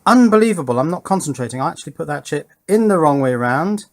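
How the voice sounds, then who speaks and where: A man's voice: a little high, expressive, fast. A man giving a casual hands-on electronics demonstration, thinking aloud and talking to himself as he works. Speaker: electronics hobbyist presenter.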